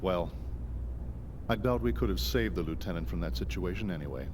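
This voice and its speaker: An actor playing a reserved, slightly awkward soldier in a video game cutscene. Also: male voice, low-pitched, animated, brisk, background noise.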